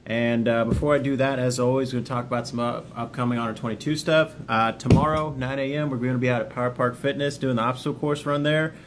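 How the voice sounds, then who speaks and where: A man's voice: mid-range voice, steady tone, fast talker, hardly any pauses, background noise. A plainspoken man hosting an informal self-help video, talking straight to viewers in a folksy way with the odd jokey aside. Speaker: video host, army veteran sharing self-help lessons. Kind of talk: informal video talk, partly reading a book overview.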